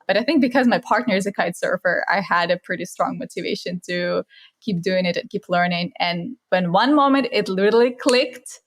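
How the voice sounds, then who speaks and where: A woman's voice: fairly low pitch, a little fast. Russian-accented young woman, podcast guest, earnest and reflective, a little non-native phrasing. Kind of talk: casual interview chat.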